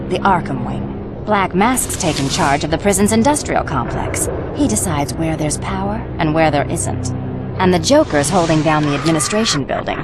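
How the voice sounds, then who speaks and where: Woman acting a sly, flirty thief over loud music. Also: low-pitched, close to an everyday voice. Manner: teasing, coy, persuasive. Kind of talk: video game cutscene acting. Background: loud game music.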